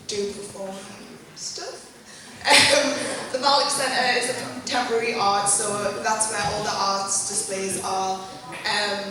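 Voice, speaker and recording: A woman's voice: on the high side, background noise. An eighteen-year-old woman giving a chatty, giggly student presentation, with many ums and restarts. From northeast England. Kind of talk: class presentation to a group. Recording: echoey hall from far off, crowd behind.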